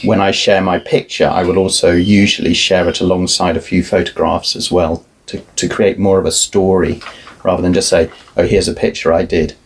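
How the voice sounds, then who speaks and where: A middle-aged man, a guest artist, talking in a relaxed, thoughtful way with many restarts. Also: low-pitched, normal speed, slight background noise. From family roots in Ireland, moved around a lot. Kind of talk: relaxed conversational interview.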